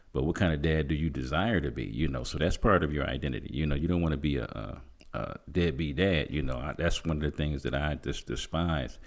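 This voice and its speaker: Very deep-voiced man in his fifties. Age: 50s. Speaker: podcast host. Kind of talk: conversational podcast discussion.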